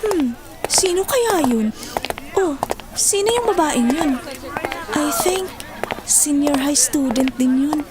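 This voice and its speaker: A woman's voice: sits high, lively intonation, slow, long pauses, heavy background noise. A woman playing a perky, teasing young female student in a radio drama, with a Filipino accent. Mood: curious, evasive. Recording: loud music under the voice.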